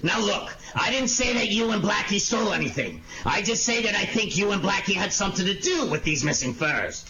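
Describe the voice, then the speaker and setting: Man acting blustery high-pitched radio police inspector. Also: sing-song, a little fast, few pauses, over a noisy crowd. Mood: suspicious, searching. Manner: sardonic, accusing, blustery. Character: gruff, suspicious male police inspector searching an apartment. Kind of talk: 1940s radio detective acting. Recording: dull, noisy lo-fi audio with clicks.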